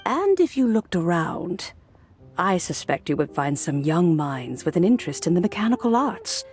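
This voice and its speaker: An actress playing a gracious, wise woman in a game, gently persuasive, with measured and refined speech. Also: on the low side, big pitch swings, faint music behind. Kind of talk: game voice acting.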